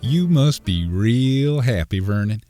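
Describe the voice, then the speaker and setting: An actor playing a sardonic, impatient associate in an audio drama. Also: male voice, medium pitch, expressive, takes it slowly, warm tone, soft background music.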